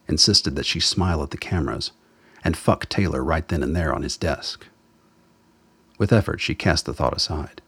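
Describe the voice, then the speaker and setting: A man's voice: very deep voice, creaky, husky, dry recording. A man reading scripted dramatic narration as the narrator of a radio play.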